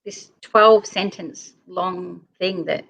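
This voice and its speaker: A woman's voice: on the low side, takes it slowly, creaky, a bit bright, muffled recording. A woman speaking as a guest in a casual discussion, explaining her points conversationally. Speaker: guest on the show.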